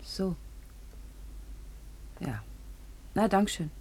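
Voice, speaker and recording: A woman's voice: medium pitch, lively intonation, very slow, darkish tone, husky, exaggerated voice. A young woman playing a naive, plucky poor girl in a radio play, speaking colloquially and earnestly. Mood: cheeky, unafraid. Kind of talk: naturalistic radio drama dialogue.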